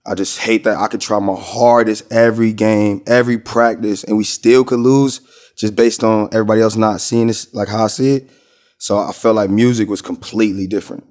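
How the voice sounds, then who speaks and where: Male American rapper as interview guest, low register, informal slang, loose rambling delivery. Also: fairly even intonation, brisk, darkish tone. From Minnesota, United States. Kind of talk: casual interview chat.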